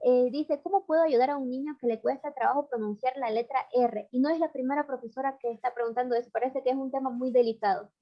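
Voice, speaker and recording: A woman hosting a live online session, warmly addressing the audience and reading out their questions. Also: high voice, steady tone, quick pace, hardly any pauses, dull audio. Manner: warm, addresses audience as dear friends. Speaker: host.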